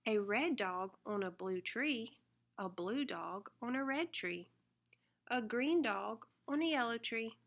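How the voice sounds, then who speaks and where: A woman reading a children's picture book aloud in an expressive, deliberate way. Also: on the high side, creaky, muffled recording, slight background noise. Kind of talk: read-aloud children's storybook narration.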